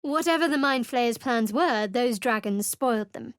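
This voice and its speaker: A woman's voice: fairly high pitch, expressive, normal speed, no room sound. A woman voicing a guarded young cleric in a video game, with a dry, cutting, sarcastic manner. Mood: sardonic. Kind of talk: studio video game voice acting. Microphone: close studio mic.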